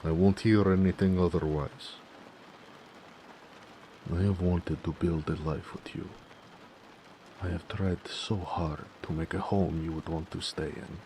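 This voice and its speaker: Orc blacksmith lover, played by a man, gravelly and slow, earnest, tender, possessive; romantic audio roleplay over faint rain-like noise. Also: fairly even intonation, warm tone. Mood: stern, pleading. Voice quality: deep, gravelly.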